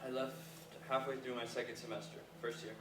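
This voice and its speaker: A man's voice: medium pitch, flat delivery, fairly quick, natural voice. Echoey, earnest, awkward young man played by an actor. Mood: matter-of-fact. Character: earnest, awkward Harvard dropout, apologetic and literal-minded. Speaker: stage actor in a staged reading. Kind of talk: naturalistic stage comedy dialogue. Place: live staged reading in a reverberant room. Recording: echoey, noisy room from far off.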